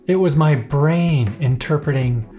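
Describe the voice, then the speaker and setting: A man's voice: on the high side, a little slow, dark tone, faint music behind. A man giving scripted, playful and enthusiastic narration for a product review.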